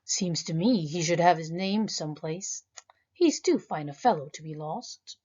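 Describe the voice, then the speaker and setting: A woman's voice: lowish voice, expressive, a little slow, breathy edge, dull audio. A woman voicing a kindly young male artist in a storybook, speaking thoughtfully. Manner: thoughtful and gentle.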